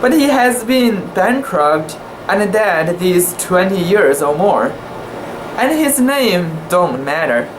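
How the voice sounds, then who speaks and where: A woman giving an amateur dramatic reading of a classic sea story, careful and deliberate, with the occasional stumble. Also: on the low side. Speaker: amateur reader. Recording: echoey room with steady background hiss.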